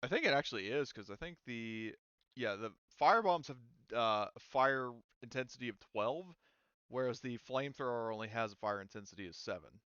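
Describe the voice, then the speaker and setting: A man's voice: medium pitch, lively intonation, normal speed, fairly bright tone, clean tone, no echo, dull audio. A man talking casually as the game master of a tabletop role-playing game.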